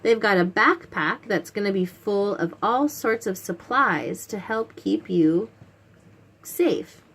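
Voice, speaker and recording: A woman's voice: mid-range voice, expressive, takes it slowly, gravelly, fairly bright tone, breathy edge. Woman explaining things to young children. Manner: simple, questioning, instructive phrasing for toddlers. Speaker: children's read-aloud host.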